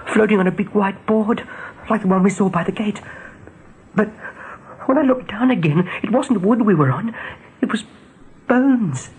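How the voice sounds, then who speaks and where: A woman's voice: medium pitch, expressive, normal speed, darkish tone, natural voice. A woman playing a small, young, fearful runt rabbit who sees visions, speaking with trembling urgency in a radio drama. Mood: shaken, determined. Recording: noisy old radio play recording.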